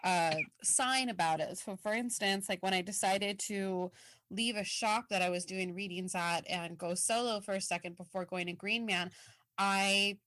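Woman podcast co-host, casual and rambling, mid pitch, dry close mic. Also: moderate pace, fairly bright tone, dry recording. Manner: chatty, filler-heavy, laughs easily. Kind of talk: casual podcast chat, reading listener mail.

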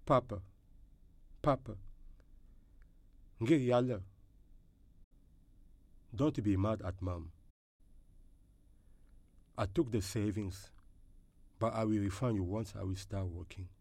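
A man's voice: fairly low pitch, takes it slowly, long pauses, darkish tone, no room sound. A man playing an earnest, apologetic young son in an audio drama voice-over. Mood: apologetic, solemn. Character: earnest, apologetic young son reading out his farewell letter.

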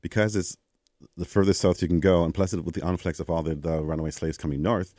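A Canadian man, a guest in an interview, telling stories in a conversational way and acting out quoted exchanges with lively energy. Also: low-pitched, fast, no room sound, clean studio sound. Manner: animated, acts out quoted exchanges.

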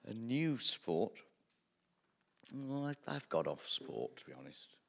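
A man improvising live comedy as a great leader, with a halting, deadpan delivery of absurd pronouncements. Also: fairly low pitch, gravelly. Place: radio theatre stage before an audience. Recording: live theatre, loud audience, noisy.

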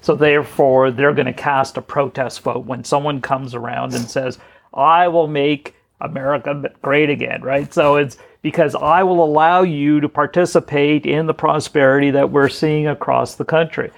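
A man with a heavily gravelly, creaky voice talks in a casual podcast discussion. He backs up the others and lays out his own opinions. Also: on the high side, steady tone, normal speed. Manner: affirming, gives opinions.

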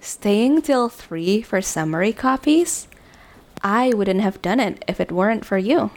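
Woman playing a slow-speaking, flustered, smitten young woman. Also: medium pitch, expressive, a little mellow. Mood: teasing. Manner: hesitant, nervous, earnest confession. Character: flustered smitten young woman, apologetic, self-deprecating, working late. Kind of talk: audio drama acting, naturalistic dialogue.

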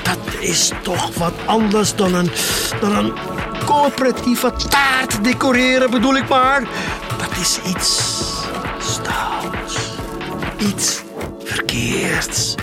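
An actor playing a defiant, nostalgic middle-aged collector in a theatrical, halting audio drama monologue. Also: male voice, high voice, exaggerated voice. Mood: savouring. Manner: confiding, theatrical, halting and emphatic. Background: music.

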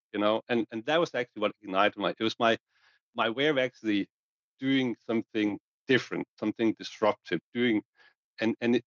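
A man with a fairly low voice speaks as a panel guest, circling back and repeating words as he warms to his point. The sound is close and dry, with a somewhat muffled, lo-fi quality. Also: dry recording. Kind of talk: conversational panel discussion. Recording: dull lo-fi audio.

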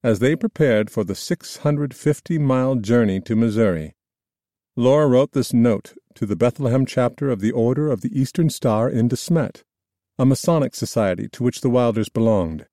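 A man reading scripted nonfiction narration in a measured, formal storytelling manner. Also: medium pitch, lively intonation, warm tone, no echo, studio quality. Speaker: audiobook narrator.

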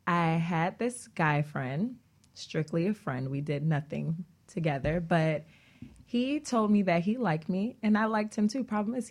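A woman speaks as a guest on a talk show. Her voice is a little low, and she tells personal stories in a casual, chatty style. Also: a little mellow. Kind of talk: casual chat, personal storytelling.

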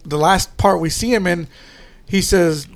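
A man hosting a casual chat, talking in a halting, repetitive way with casual swearing. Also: sits high. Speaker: podcast host. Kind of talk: casual chat about movies.

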